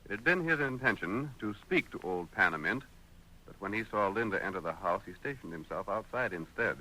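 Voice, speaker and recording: A man's voice: fairly low pitch, lively intonation, a little fast, poor audio. A man with an American accent giving formal, dramatic narration for a 1930s radio adventure. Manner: formal, dramatic announcer delivery. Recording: sharp old 1930s radio recording.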